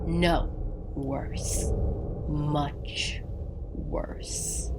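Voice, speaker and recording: A woman's voice: low-pitched, steady tone, extremely slow, in a whisper. A woman playing a stern, seasoned explorer with a curt, exasperated manner in an audio drama. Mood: stern, warning.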